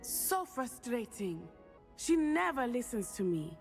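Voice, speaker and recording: A woman's voice: on the high side, sing-song, takes it slowly, soft background music, heavily acted. A young woman playing a headstrong, earnest teenage witch in a fantasy game, speaking in formal, precise phrases. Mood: frustrated. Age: young adult. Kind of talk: video game acting.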